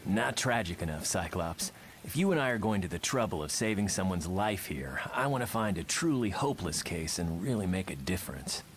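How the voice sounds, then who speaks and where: A man's voice: low-pitched, very animated, brisk, slight background noise. A man voicing a big, erudite, picky student hero with a dry, articulate manner in a motion comic. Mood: disdainful.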